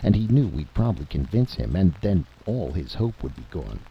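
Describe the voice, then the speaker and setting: A man's voice: sits low, very animated, normal speed, slightly rough, muffled recording, exaggerated voice. A man voicing a weary, earnest and sceptical adventurer in a story reading. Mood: earnest, resigned. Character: weary, sober, doubting male adventurer.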